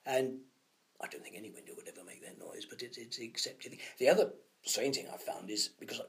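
A man musing about sound effects in an interview, sometimes dropping to hushed asides. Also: mid-range voice, a little fast, vocal fry. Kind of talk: anecdotal interview chat.

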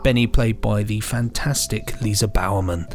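A man's voice: on the low side, normal speed, music in the background. A British man co-hosting a podcast in a chatty style, with jaunty, whimsical turns of phrase. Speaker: podcast co-host.

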